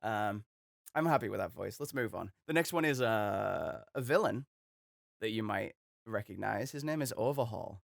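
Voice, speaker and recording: A man's voice: on the low side, animated, normal speed, gravelly, no room sound. A young man who voices characters as a hobby, talking in a hesitant, self-deprecating, chatty way. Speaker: hobby voice actor. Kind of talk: casual commentary.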